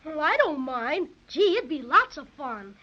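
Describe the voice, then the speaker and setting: A woman's voice: high voice, very animated, a little slow, sharp tone, exaggerated voice. A plucky young boy. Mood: eager. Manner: eager, folksy exclamations. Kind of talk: 1930s radio drama acting. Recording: dull old radio recording with faint hiss.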